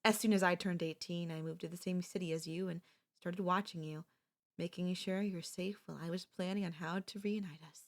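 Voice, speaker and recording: A young woman playing an obsessive, possessive kidnapper and childhood friend in an ASMR audio roleplay, speaking in a soft, intimate, pleading manner. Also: lowish voice, steady tone, fairly quick, natural voice. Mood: tender, confessional.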